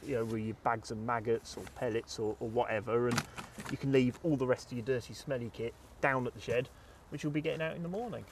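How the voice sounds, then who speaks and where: Steady British man explaining outdoors. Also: mid-range voice, quick pace, a little hiss or hum. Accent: British English. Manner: steady, explanatory, talks in prices and uses. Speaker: presenter. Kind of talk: casual review chat. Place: outdoors on a lake bank.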